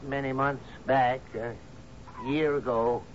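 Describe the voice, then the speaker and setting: An actor playing an uneasy, subordinate island man in a radio drama, speaking in a halting, guarded way. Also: mid-range voice, steady tone, close to an everyday voice. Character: hesitant, uneasy subordinate islander living under the captain. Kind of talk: old-time radio drama acting. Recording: muffled, noisy old radio broadcast.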